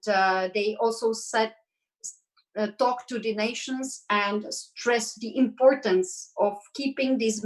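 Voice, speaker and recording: Czech-born woman, earnest and halting. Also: a little high, rough recording. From Czech Republic. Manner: earnest, hesitant with many fillers. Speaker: panel guest. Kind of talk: online panel discussion. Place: Belgium.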